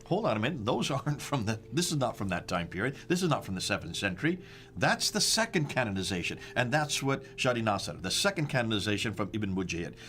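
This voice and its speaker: A man's voice: fairly low pitch, very animated, quick pace, a bit bright, slight background noise. Emphatic American man presenting slides. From United States. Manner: emphatic, rhetorical questions, stresses dates. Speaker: host. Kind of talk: discussion over slides.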